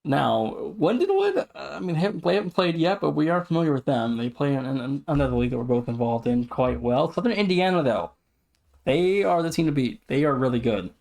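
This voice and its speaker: Man with a gravelly edge talking sports. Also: fairly high pitch, animated, a little fast, darkish tone. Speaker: podcast co-host. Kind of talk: casual sports talk, running through standings.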